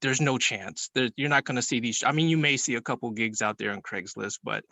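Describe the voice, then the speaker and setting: A man's voice: medium pitch, fairly quick, gravelly, sharp tone, dry recording. A man in a casual group discussion, colloquial and noncommittal, restarting as he reasons aloud.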